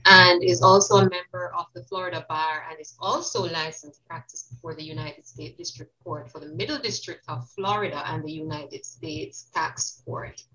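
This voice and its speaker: A woman's voice: on the low side, fairly bright tone, muffled recording. A woman hosting a podcast, introducing her guest and asking loose, hesitant questions. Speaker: podcast host. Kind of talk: podcast interview.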